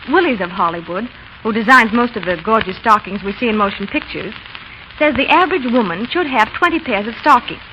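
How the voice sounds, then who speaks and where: A dull old radio recording with faint noise. A woman speaks in a bright, rather high voice. She delivers scripted tips in a perky, chatty way. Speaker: radio correspondent. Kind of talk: scripted 1930s radio chat and advertising.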